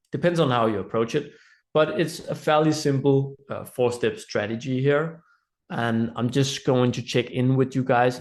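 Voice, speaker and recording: A man with a Danish accent presents a live online training in an informal, explanatory way, often checking in with listeners. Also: medium pitch. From Denmark.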